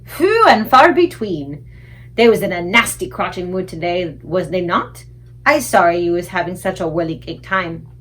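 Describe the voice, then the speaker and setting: A woman's voice: on the low side, very animated, moderate pace, a bit bright. A woman voicing a kindly, humble male giant in a children's storybook, speaking folksy broken grammar with muddled words. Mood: relieved, apologetic. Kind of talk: children's storybook read aloud.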